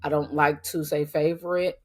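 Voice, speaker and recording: Podcast host, middle-aged woman, low voice, raspy from a cold, earnest and emphatic, casual faith talk. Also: flat delivery, moderate pace. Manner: earnest, emphatic, talks straight to listeners.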